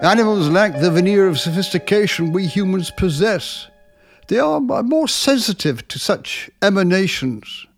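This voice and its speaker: An actor playing a frail, earnest old doctor, halting and pedantic, in a 1940s radio drama. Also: male voice, high-pitched, expressive, faint music behind. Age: older.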